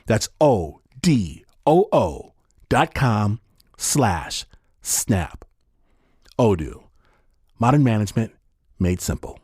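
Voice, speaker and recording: A man hosting a storytelling show, narrating from a script in a theatrical way with deliberate dramatic pauses. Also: on the low side, sing-song, a little mellow, slightly rough, no room sound, studio quality. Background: music bed.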